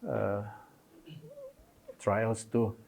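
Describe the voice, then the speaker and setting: A man in his fifties whose first language is Polish, presenting his book with many pauses, fillers and restarts in non-native phrasing. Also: fairly low pitch, little pitch movement, gravelly, a little mellow, slight background noise. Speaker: consultant pediatrician.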